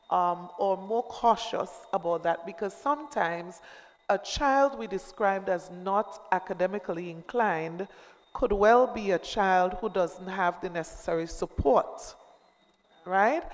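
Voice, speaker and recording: A woman answering questions as a panelist at a town hall meeting, explaining in a measured way and often checking in with "right?". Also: medium pitch. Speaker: party panelist. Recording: reverberant hall.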